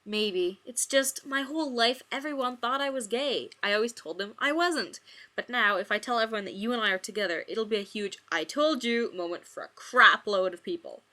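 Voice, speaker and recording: A woman's voice: a little high, moderate pace, bright, forceful tone, pitched above the performer's own voice. A woman voicing a guilty, brooding young man torn between lovers, reading fanfiction melodramatically with hesitant sighs. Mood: uneasy.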